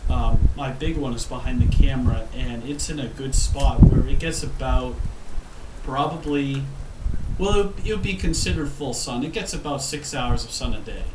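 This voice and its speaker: A man's voice: medium pitch, moderate pace. Stuffy-nosed man on a windy patio. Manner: rambling, self-correcting, frequent ums. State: stuffed up from a head cold. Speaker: gardening vlogger. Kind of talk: casual talk to camera. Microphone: camera mic from a few feet away. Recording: roomy, distant camera audio with wind noise.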